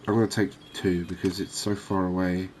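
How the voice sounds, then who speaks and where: A man giving calm, unhurried, soft-spoken ASMR gameplay commentary, musing aloud as he plays. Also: low-pitched, slight background noise. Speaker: gaming video host.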